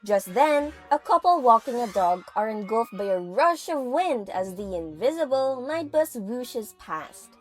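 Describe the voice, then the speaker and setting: A woman's voice: fairly high pitch, very animated, measured pace, few pauses. A woman giving vivid, dramatic scripted narration for an audio drama. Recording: music under the voice.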